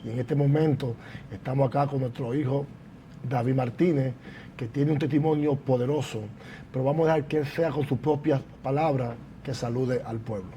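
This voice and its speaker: A pastor with a mild, mellow voice hosts a church testimony broadcast, speaking formally and in a preacherly way. Faint background noise sits under his voice. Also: mid-range voice, fairly even intonation, normal speed. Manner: preacherly, formal, interjects praise. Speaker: pastor and program host. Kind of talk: church program interview. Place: church building.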